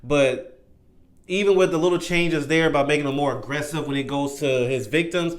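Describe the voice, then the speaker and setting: A man's voice: a little high, normal speed. A man hosting a reaction video, chatting in a laid-back, rambling, conversational way. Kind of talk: casual reaction commentary.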